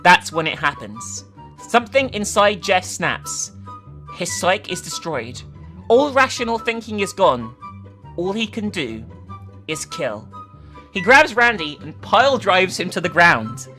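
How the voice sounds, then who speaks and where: A woman's voice: fairly low pitch, sing-song, a little slow, bright, forceful tone, faint music behind. A woman giving a theatrical, emphatic dramatic reading of a story, as a host who also comments casually. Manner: theatrical, emphatic, sweary in asides.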